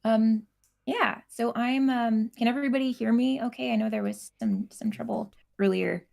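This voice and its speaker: A soft-spoken woman, a guest comic creator on a video call, telling a personal story in a hesitant, casual way with many fillers. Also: on the high side, expressive, moderate pace, vocal fry. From United States.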